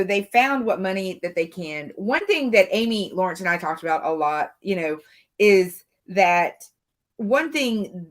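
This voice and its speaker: A woman from the American South speaking casually and at length as a panel commentator, circling through her points with lots of filler phrases. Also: mid-range voice. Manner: rambling, frequent "you know". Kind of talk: casual true-crime discussion.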